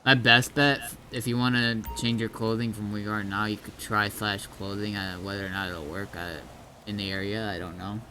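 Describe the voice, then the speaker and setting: A man's voice: lowish voice, little pitch movement, moderate pace, bright, forceful tone, slight background noise. A man casually guiding newcomers through an online game, explaining step by step and somewhat hesitantly. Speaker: experienced game server player guiding newcomers.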